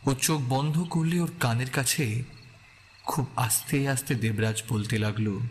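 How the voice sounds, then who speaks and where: A man narrating a scripted horror story in a suspenseful manner, with deliberate dramatic pauses. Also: medium pitch, a little hiss or hum.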